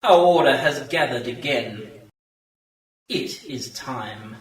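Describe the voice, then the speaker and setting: Man as earnest cult monk, swooping pitch, drawn-out ceremonious delivery, radio play, far off in echo. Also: expressive. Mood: solemn, faithful. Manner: slow, ceremonious. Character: solemn, faithful monk, newcomer to a secret Martian order. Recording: echoey, distant radio play recording.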